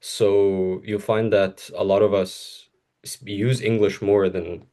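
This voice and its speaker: Laughing young man, low voice. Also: little pitch movement, takes it slowly, warm tone. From Egypt. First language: Arabic. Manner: laughs often, rambling with restarts. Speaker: co-host. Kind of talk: casual conversation.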